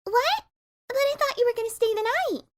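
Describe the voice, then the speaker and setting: A woman voicing a hyperactive, bubbly young female cartoon pony with an excitable, gushing manner in a dramatic story reading. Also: very high-pitched, brisk. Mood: eager.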